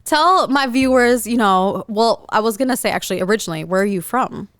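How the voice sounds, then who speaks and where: A woman's voice: a little high, animated, a little fast, a bit bright, no echo, clean studio sound. Bubbly, laughing woman podcast host. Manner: bubbly, laughs often, slangy. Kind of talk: casual chat.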